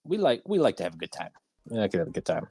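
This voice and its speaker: A man's voice: on the low side, wildly swooping pitch, rapid-fire, mellow, slightly rough, dry recording, studio quality, soft background music. A man hosting a casual livestreamed round table talk show.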